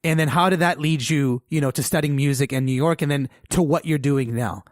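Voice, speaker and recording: A man's voice: on the high side, fairly quick, no echo, clean studio sound. A man hosting a podcast, interviewing casually in an effusive, informal way. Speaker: podcast host.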